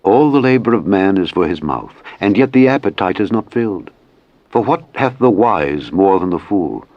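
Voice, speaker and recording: A man narrating scripture in a solemn, measured and declamatory reading style. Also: sits low, no room sound, highs cut off.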